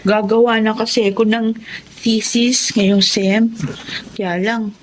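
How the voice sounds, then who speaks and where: A woman's voice: a little high, level tone, slow, slight background noise, poor audio. A woman playing a young college student, the deferential little sister, in an emotional radio drama. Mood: hesitant request.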